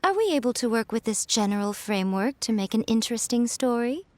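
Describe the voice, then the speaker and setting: A woman voicing a sly, elegant fox spirit who mentors with smooth, unhurried teasing. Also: on the high side, expressive, darkish tone, lightly acted. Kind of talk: video game cutscene voice acting.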